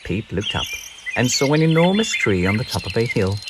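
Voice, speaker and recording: A man reading a children's story aloud in an expressive, scripted narration style. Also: mid-range voice, a little fast, noisy background. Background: birdsong and insect sounds.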